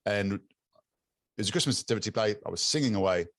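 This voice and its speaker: A man with a British accent talks casually over a video call. His voice sits low, raspy yet clear, and it comes across dry and close. He restarts his phrases often, repeating words as he looks for the right one. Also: fast talker, fairly bright tone, no echo. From England. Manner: repeats words while searching for them. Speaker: podcast guest. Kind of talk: casual interview chat.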